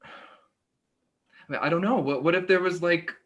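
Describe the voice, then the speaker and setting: A woman's voice: on the low side, moderate pace, natural voice. A woman acting an uneasy, evasive neighbor in a play reading, stammering and defensive. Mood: evasive, flustered. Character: evasive, uneasy, apologetic neighbor avoiding involvement. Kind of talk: staged play reading, naturalistic dialogue.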